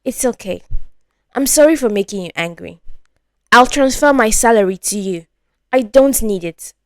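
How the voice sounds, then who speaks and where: Woman acting out a gentle, devoted young sweetheart. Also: on the high side, lively intonation, moderate pace, no echo, studio quality, natural voice. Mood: apologetic. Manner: soft, pleading.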